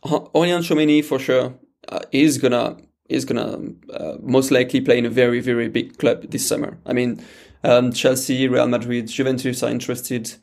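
A man's voice: mid-range voice, steady tone, normal speed, creaky, darkish tone. A man with a French accent, a guest pundit talking football on a podcast, hesitant with many fillers and restarts. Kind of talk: casual podcast chat.

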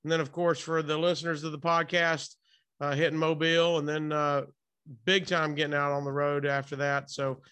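Bright, clear-voiced man hosting an interview. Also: on the high side, flat delivery, normal speed. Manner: enthusiastic, informal. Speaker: podcast and radio host interviewer. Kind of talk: casual interview chat. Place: hotel room, remote call.

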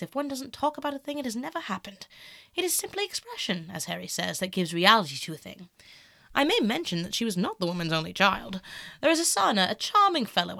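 Woman as vain, animated young gentleman. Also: medium pitch, gravelly, no echo, clean studio sound, exaggerated voice. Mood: airy, flippant. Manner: theatrical, airy, emphatic. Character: vain, callous young aristocratic man, dramatic and self-absorbed. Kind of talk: audiobook character acting.

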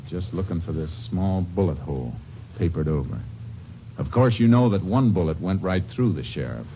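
A deep, steady lawman. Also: normal speed, slight background noise. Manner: calm, firm, dryly sardonic. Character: steady, dry-witted male Texas Ranger. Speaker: narrator and lead character. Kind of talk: 1950s radio drama acting. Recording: muffled old radio broadcast.

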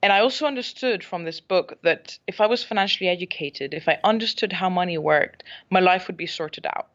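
Low-voiced young woman on a call. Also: a little fast, a bit bright. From Spain. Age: 20s. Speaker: podcast guest. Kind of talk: conversational interview answers. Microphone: remote call. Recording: muffled lo-fi call audio.

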